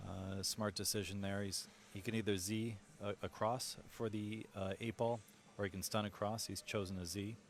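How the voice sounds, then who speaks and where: A man with a low voice calls a pool match and breaks down each shot choice for viewers. His delivery is steady and analytical. Faint venue ambience hums behind him. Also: moderate pace, vocal fry, hardly any pauses, a little hiss or hum. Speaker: pool commentator. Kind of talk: live sports commentary.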